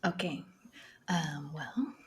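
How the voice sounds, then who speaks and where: A woman from the Netherlands with a Dutch accent, a guest in a casual interview, speaking haltingly with many fillers and little laughs. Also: sits low, expressive, slightly rough. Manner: hesitant, many fillers, laughs often, switches between Spanish and English.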